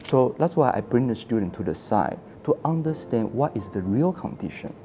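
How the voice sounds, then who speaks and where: A man's voice: medium pitch, expressive, moderate pace, mellow, slight background noise. A man in his forties from Taiwan, an architecture historian telling his story in a casual interview, acting out quoted dialogue and laughing often. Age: 40s.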